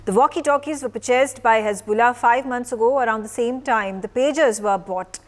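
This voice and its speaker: Higher-pitched Indian woman news anchor. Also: normal speed. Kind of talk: live news broadcast interviewing.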